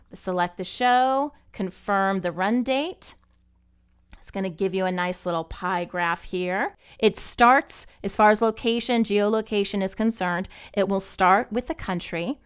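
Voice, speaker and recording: A woman host giving a casual, explanatory walkthrough of podcast stats software, talking the listener through each step. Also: mid-range voice, clear, resonant tone. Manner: casual, explanatory, uses "you know" and "gonna". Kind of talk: screen-share software tutorial.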